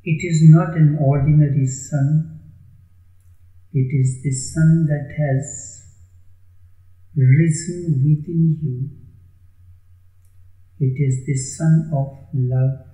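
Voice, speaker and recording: Very slow man guiding meditation, echoing. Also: a little high, fairly even intonation, dark tone. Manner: measured, with long pauses between short phrases. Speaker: meditation guide. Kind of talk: guided meditation narration.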